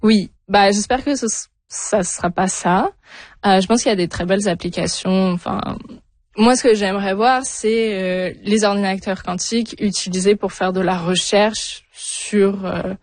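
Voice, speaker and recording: A young woman from France talks through her answers at an even, middle pitch, stopping and starting with frequent fillers. Her voice sits close and dry, with no room sound around it. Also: moderate pace, dry recording. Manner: hesitant, many fillers and restarts. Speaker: interview guest, new PhD in physics. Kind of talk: radio interview, casual answers.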